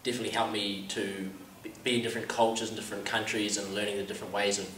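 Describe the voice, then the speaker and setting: Young New Zealand man, low and even. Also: racing, slight background noise. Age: young adult. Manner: upbeat, repeats "definitely". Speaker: college soccer player. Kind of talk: interview answers. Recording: echoey room, mic far off.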